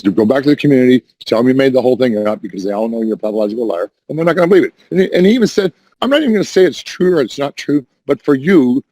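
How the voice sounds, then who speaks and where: A hoarse man rambling defensively in casual voice chat, restarting and repeating himself. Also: medium pitch, warm tone, no room sound, poor audio. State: hoarse, says voice is damaged. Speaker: Discord voice chat caller.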